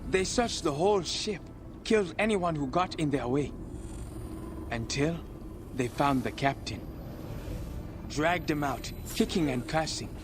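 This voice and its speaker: An actor playing a boastful, cheeky thief in a video game, telling tall tales in a theatrical, self-dramatizing way. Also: male voice, a little high, measured pace, pauses often, background noise, natural voice. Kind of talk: video game cutscene acting. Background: music.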